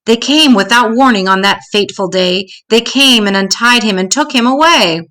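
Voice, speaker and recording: An older woman storyteller reading a children's story aloud in an expressive, measured way. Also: on the high side.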